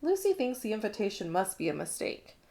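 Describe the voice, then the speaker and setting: A woman's voice: medium pitch, big pitch swings, brisk, mellow. Woman reading a children's storybook aloud. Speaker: storybook reader. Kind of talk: expressive children's read-along narration.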